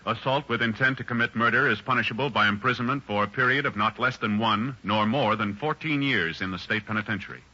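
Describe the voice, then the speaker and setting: A man acting as a stern, official radio announcer, reading measured scripted narration in 1950s style. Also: on the low side, sharp tone. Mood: formal. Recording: dull 1950s radio recording.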